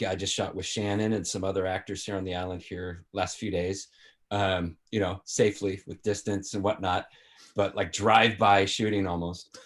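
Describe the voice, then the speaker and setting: A man with a distinctly low voice chats on a video call. His delivery is informal and conversational. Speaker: video production worker. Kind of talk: casual chat. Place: remote group video call.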